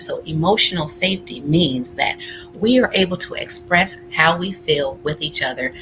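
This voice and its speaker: A woman's voice: deep voice, rough recording. A woman giving an informal explanatory lecture as a relationship workshop speaker, conversational and voicing example phrases as she goes.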